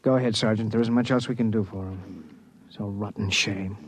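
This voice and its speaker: An actor playing a dutiful, commanding army major in a 1950s radio drama. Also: male voice, on the low side, steady tone, brisk, a little hiss or hum, lightly acted. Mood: regretful.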